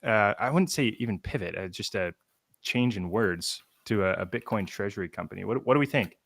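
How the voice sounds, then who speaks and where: Bright-voiced man hosting a casual stream. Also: on the low side, expressive, fairly quick, no room sound. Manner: loose and chatty, many fillers and restarts. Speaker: podcast host. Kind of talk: casual livestream discussion.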